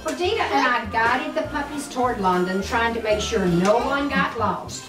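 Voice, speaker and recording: Older woman reading aloud, high animated voice. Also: normal speed. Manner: expressive, explaining to a small child. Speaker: grandmother reading to a child. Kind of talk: storybook read-aloud with asides to a child. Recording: roomy, distant mic, music behind. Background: music.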